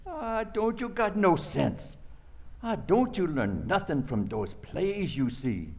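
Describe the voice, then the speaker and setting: An actor playing a nervous sidekick doctor in a radio play, pleading in agitated, repetitive broken English. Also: male voice, high voice, very animated, slow, darkish tone, heavily acted. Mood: pleading, anxious.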